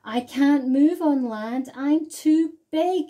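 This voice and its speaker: A woman voicing an immense, gentle male humpback whale in a storybook, speaking in drawn-out, emphatic words. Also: high voice, voice pushed up. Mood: moaning, helpless.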